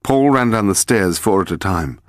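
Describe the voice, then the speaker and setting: A man with a British accent reading a detective story as scripted narration, in a measured, dramatic storytelling manner. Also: sits low, animated, no echo, clean studio sound. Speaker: audiobook narrator.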